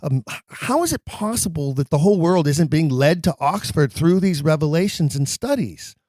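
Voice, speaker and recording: Inquisitive podcast host, higher voice. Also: male voice, lively intonation, normal speed, darkish tone, dry recording. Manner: inquisitive, probing. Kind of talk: interview questions.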